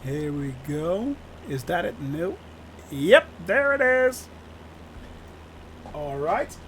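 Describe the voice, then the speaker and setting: A man's voice: on the high side, big pitch swings, takes it slowly, a bit bright, a little hiss or hum. A Dutch man, native Dutch speaker, giving chatty live-stream commentary while flying a sim and answering his chat with frequent laughs. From Netherlands.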